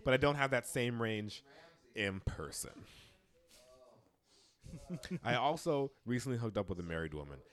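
A man's voice: mid-range voice, expressive, normal speed, long pauses, a bit bright. A man in his late twenties, co-hosting a casual podcast, talking in long rambling stretches with lots of fillers and self-deprecation. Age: 20s. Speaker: podcast co-host. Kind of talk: casual chat.

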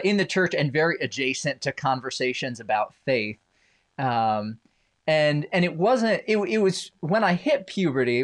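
A man who grew up in Indonesia, a podcast guest, telling his personal story with wry, self-deprecating humor. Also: a little high. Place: home basement.

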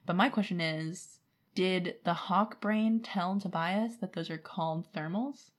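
Casual, fairly low-voiced woman podcaster. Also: unhurried. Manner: acts out characters' lines in paraphrase. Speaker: podcast co-host. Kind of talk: casual book recap chat.

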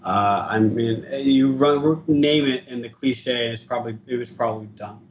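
A man's voice: medium pitch, moderate pace. Man, late 20s, hesitant guest, dull lo-fi line, faint hiss. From East Coast of the United States. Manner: hesitant, many uh fillers. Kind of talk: casual interview.

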